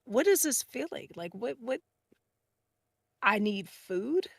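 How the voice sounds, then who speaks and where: Casting: female panelist, lively pitch swings, slow pace, thinks out loud casually. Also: creaky, husky, dry recording. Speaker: podcast panelist. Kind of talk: casual group chat.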